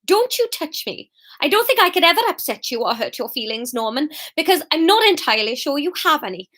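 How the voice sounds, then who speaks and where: Lady doing a high voiced welsh shop woman for an audiobook, scorned and fierce. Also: big pitch swings, fairly quick, a bit bright. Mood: defiant, bitter. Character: Fiona, scorned shop worker, fierce and wounded. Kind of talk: audiobook character dialogue.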